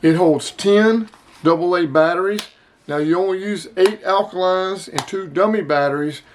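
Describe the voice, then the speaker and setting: High-voiced man reviewing gear. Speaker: host of an outdoor gear review channel. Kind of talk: casual vlog product review.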